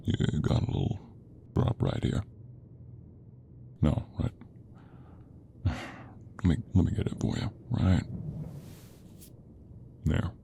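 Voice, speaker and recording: A man playing a gruff, stern but protective monster hunter in an audio roleplay, with a gravelly, murmuring delivery. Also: fairly even intonation, slow, long pauses, dark tone, a little hiss or hum, heavily acted. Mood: dark, tender. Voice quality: gravelly, very low, creaky. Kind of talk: audio roleplay acting addressed to the listener.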